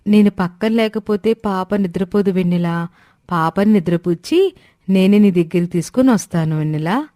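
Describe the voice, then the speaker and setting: A woman acting a devoted, gentle elder sister in a moral story. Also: medium pitch, normal speed, dry recording, studio quality, lightly acted. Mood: calm, assured. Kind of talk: acted moral-story dialogue.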